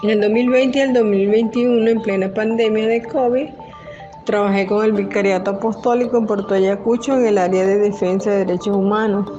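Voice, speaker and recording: An older woman, a retired nurse from the Venezuelan Amazon, calmly narrating her own life story in the first person. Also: medium pitch, fairly quick. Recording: dull lo-fi voice-over with music. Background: music.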